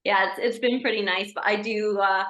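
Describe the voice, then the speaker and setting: Bright-voiced Canadian woman with British tinge. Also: mid-range voice, fairly even intonation, normal speed, reverberant, poor audio. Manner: friendly, chatty, with frequent "uh" restarts. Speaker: guest, racing driver turned engineer. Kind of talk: casual interview answers.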